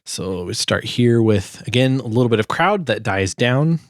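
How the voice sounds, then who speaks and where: A man giving a casual tutorial as a sound designer, informal and halting as he thinks aloud. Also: medium pitch, expressive, no echo. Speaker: sound designer and video host.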